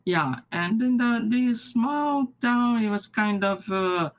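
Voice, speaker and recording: An older woman whose first language is Japanese, from Brazil, recalling her childhood in an interview, halting and often restarting with soft laughs. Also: on the high side, lively intonation. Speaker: interviewee, retired mathematics professor. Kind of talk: recorded life-story interview.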